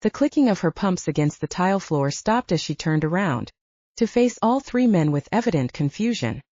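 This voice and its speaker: Female audiobook narrator for romance fiction: mellow tone, animated intonation, slightly muffled sound. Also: lowish voice, normal speed, no room sound.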